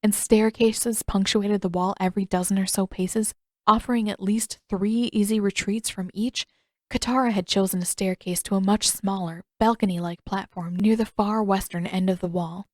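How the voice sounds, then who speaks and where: A woman's voice: mid-range voice, fairly even intonation, normal speed, no room sound. A woman reading scripted fiction aloud as an audiobook narrator.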